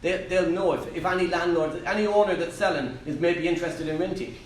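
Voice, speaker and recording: Irish male trainer, high voice, punchy and repetitive, distant mic with room echo. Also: brisk. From Ireland. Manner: emphatic, repeats key phrases. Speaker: property trainer on a Q&A panel. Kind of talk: live seminar Q&A answer. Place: live event room. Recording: echoey room, distant mic, faint noise.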